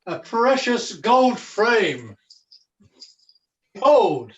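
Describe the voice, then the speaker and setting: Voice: medium pitch, sing-song, very slow, dull audio. A performer playing a flustered, scheming jester in a pantomime, declaiming in florid mock-archaic language. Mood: bold. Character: Jack Point, a wordy, scheming, flustered jester. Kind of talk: theatrical pantomime acting.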